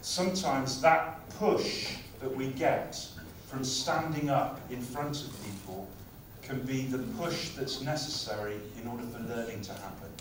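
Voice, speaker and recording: A man's voice: medium pitch, unhurried, hardly any pauses, a little hiss or hum. Man lecturing in a very echoey hall. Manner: conversational, lively lecturing. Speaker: conference speaker on language teaching. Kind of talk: conference talk. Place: conference hall. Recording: very echoey hall, far from mic.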